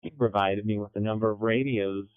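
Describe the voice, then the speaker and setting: A man's voice: on the low side, fairly even intonation, a little fast, clear, resonant tone, no room sound. Phone-like radio voice, middle-aged American man. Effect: amateur radio transmission. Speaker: amateur radio caller, radio broadcaster. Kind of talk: casual radio check-in chat. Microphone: two-way radio. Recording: narrow phone-like radio transmission.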